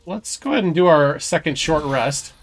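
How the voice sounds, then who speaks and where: A man's voice: on the high side, lively intonation, moderate pace, soft background music. A man giving casual commentary on a video game he is playing, sometimes reading game text aloud.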